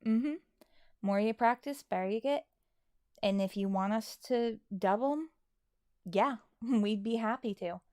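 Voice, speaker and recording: A woman's voice: on the high side, measured pace, a little mellow, clear, resonant tone, dry recording, studio quality. A woman co-hosting a casual livestream, chatting and answering questions from viewers. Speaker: co-host of a livestream.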